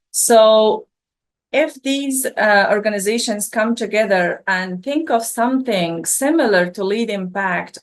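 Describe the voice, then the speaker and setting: A young woman from Afghanistan answers an interviewer's questions at a slow, careful pace. She restarts her sentences often and fills the gaps with ums and uhs. Her voice sits at an ordinary pitch. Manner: hesitant, many fillers and restarts. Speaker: scholarship student guest.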